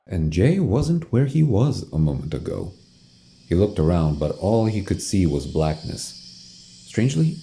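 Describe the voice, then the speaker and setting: A man's voice: deep voice, creaky, mellow. A man narrating an audio drama in a scripted storytelling style. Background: music.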